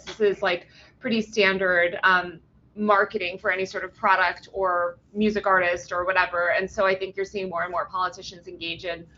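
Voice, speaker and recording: Woman journalist guest, bright voice, loose chatty delivery, slightly dull remote audio. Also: on the low side, level tone, faint music behind. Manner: fast, loose speech with many ums, likes and restarts; blunt opinions. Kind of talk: remote interview.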